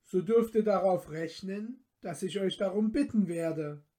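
A woman storyteller plays a modest, noble-hearted young man from a storybook. He talks very slowly and earnestly. His phrasing is polite, formal and old-fashioned. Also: mid-range voice, dark tone, lightly acted. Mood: courteous, firm.

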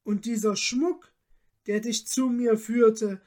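A woman reader puts on a noble countess from a storybook, lifted high in pitch. She speaks very slowly in solemn, courtly phrases. Her temper is gracious and full of feeling. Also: fairly even intonation, darkish tone. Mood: grateful, solemn. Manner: courtly, old-fashioned, solemn diction. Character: gracious noble countess, deeply moved and grateful.

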